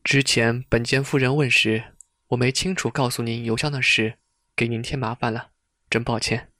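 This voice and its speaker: A man acting a polite, earnest gentleman confiding awkwardly in an audio drama, in measured dialogue. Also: mid-range voice, level tone, no echo, clean studio sound, close to an everyday voice. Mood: polite, apologetic.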